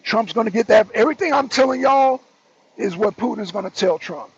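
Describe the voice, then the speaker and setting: A man's voice: high voice, animated, a little fast, a little hiss or hum. A man hosting a political talk show, giving blunt, emphatic commentary and repeating phrases for effect. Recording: dull lo-fi audio.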